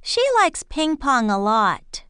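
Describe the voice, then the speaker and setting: A woman reading scripted dialogue and answer options for an English listening test, slow and clearly enunciated. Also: a little high, expressive, fairly bright tone, dry recording, studio quality.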